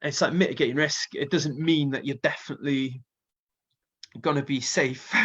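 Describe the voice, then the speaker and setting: A man's voice: a little high, normal speed, fairly bright tone, slightly rough, dull audio. A man with a Welsh accent and a slight cold, chatting casually and rambling with plenty of fillers as a festival booker being interviewed. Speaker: festival co-founder and music booker.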